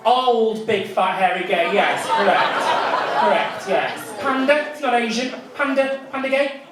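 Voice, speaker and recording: Cheeky camp Mancunian comic, lively pitch. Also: male voice, unhurried. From Manchester, England. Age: 30s. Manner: camp, cheeky, teasing the crowd. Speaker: stand-up comedian. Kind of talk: stand-up comedy set. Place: comedy club stage. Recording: echoey club room, distant, loud audience noise.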